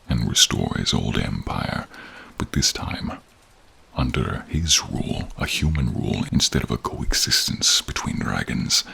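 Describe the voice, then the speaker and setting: A man voicing a protective, caring male dragon with a deep, gravelly, breathy voice, speaking slowly, solemnly and formally in a fantasy audio roleplay. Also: bright, forceful tone, exaggerated voice. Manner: slow, solemn, formal, dramatic pauses. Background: rain and cave ambience.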